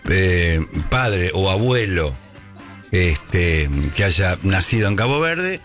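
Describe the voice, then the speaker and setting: A man with a Rioplatense Spanish accent, a fairly low voice that swings widely in pitch as he spins joking stories. He hosts a relaxed sports chat, with faint music under him. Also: takes it slowly. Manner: joking storyteller, acts out voices. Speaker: radio host. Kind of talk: casual sports talk.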